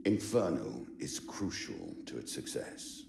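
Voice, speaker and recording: A man playing a stern, cold middle-aged Imperial admiral in a video game, speaking in a measured, formal, commanding way. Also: very deep voice, huge pitch swings, vocal fry, echoey room, very noisy, heavily acted. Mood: cold, grave. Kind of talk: video game cutscene acting.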